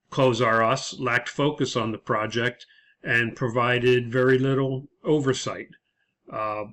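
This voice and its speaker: A man giving an explanatory lecture as an instructor, talking through material in a measured way. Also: medium pitch, a bit bright. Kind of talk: lecture explaining a case study.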